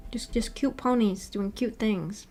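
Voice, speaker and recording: A woman's voice: medium pitch, a little slow, warm tone, faint music behind. Young woman streamer chatting informally. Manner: rambling, giggly, informal, reads comments aloud. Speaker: VTuber livestreamer. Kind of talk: casual livestream chat, answering viewer comments.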